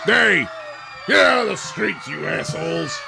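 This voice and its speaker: A man's voice: fairly high pitch, expressive, unhurried, creaky, reverberant, raised voice, music in the background, a crowd in the background, heavily acted. A man voicing a pompous, hot-tempered masked vigilante who bellows insults, in a comedy audio drama. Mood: blustering.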